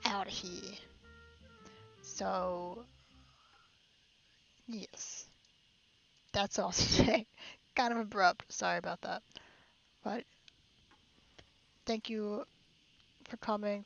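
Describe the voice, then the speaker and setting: A woman's voice: mid-range voice, vocal fry, a bit bright, lo-fi sound. A woman chatting casually and a little giggly as she livestreams a video game. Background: game music.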